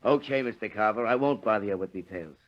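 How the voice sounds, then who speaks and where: A man's voice: on the low side, fast talker, lightly acted. An actor playing a thuggish mill foreman, tough and slangy, in a 1930s radio drama. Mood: menacing. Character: thuggish male mill foreman, servile yet menacing. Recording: dull vintage radio recording.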